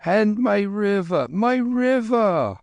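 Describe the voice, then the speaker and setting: A man voicing an old, gentle holy man who speaks in dignified, archaic phrases. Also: medium pitch, very animated, very slow, dry recording, voice pushed up, exaggerated voice. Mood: pleading. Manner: solemn, archaic, dignified speech.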